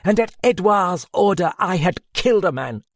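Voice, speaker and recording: A woman's voice: lowish voice, expressive, slow, gravelly, slightly rough, no room sound, clean studio sound, voice pushed up, full character voice. A man voicing a proud, desperate woman who cries out with passion, bitter and near tears, in a read-aloud story. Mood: fierce.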